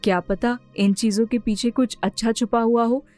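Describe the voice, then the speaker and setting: A woman voicing a kind young female friend in an animated story, earnest and reassuring. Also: a little high, normal speed, no room sound, soft background music, natural voice. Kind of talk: animated moral story dialogue.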